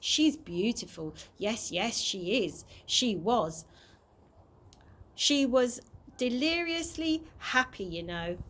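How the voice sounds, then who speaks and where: A woman's voice: fairly high pitch, a little hiss or hum. A woman narrating a children's chapter book aloud as a storyteller. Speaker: early years practitioner reading stories to children.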